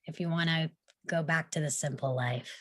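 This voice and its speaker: A woman's voice: low-pitched, fairly even intonation, normal speed, dry recording, clean studio sound. A woman chatting casually and informally in a group conversation. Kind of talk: casual chat.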